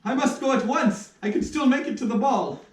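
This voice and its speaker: Man playing a high-voiced, excitable pompous major. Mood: overjoyed. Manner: theatrical, exclaiming, drawn-out delivery. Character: pompous, excitable male major, a puppet nobleman. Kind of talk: puppet theatre character acting. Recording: echoey room, distant mic.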